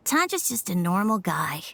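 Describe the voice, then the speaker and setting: A woman's voice: lowish voice, vocal fry, a little hiss or hum, exaggerated voice. A woman voicing a shy, polite little boy in an anime game, speaking hesitantly. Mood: subdued. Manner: hesitant, soft-spoken, polite. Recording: music under the voice.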